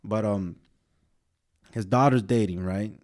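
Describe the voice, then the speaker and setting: A young man co-hosting a casual podcast, slightly hoarse from a sore throat, rambling in a laid-back way. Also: lowish voice, takes it slowly, darkish tone. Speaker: podcast co-host. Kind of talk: casual chat.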